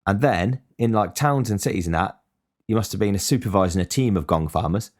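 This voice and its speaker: Man, very deep voice, blunt, swearing, ranting casual monologue. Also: animated, brisk, a little mellow. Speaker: podcast host.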